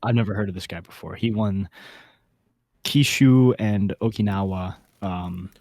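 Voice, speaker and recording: A man's voice: on the low side, unhurried, a little mellow, no room sound, clean studio sound. A man co-hosting a podcast in casual, slangy, enthusiastic panel chat. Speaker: podcast host.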